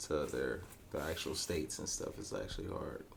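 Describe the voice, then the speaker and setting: Very deep gravelly male co-host. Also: expressive, moderate pace, a little hiss or hum. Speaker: reaction video co-host. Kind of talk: casual reaction chat.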